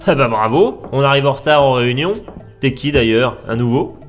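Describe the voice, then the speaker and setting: A man playing a brisk, sarcastic office manager chairing a staff meeting in a comedy audio drama. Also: medium pitch, expressive, faint music behind, lightly acted. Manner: blunt, dry, addressing staff like a chairman.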